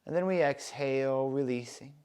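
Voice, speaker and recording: A man softly leading a guided breathing meditation, with calm, soothing instructions. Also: medium pitch, smooth. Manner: slow, soft, calm and soothing guidance.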